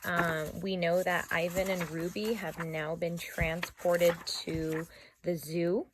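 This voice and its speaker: A woman speaking as an elementary school teacher, casually and haltingly introducing a read-aloud for children. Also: on the low side, flat delivery, background noise. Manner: halting, informal.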